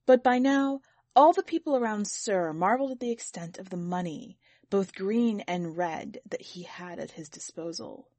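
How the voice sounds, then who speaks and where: Woman podcast host and story reader, mid-pitched, measured literary narration with expressive phrasing, music present. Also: dry recording. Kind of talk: scripted narration, literary short story reading.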